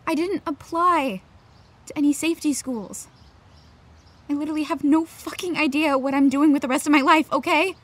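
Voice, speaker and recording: A woman's voice: high-pitched, normal speed, natural voice. An actress playing an anxious, defensive teenage girl in a naturalistic audio drama, with a sarcastic, exasperated edge. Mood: defensive, ashamed.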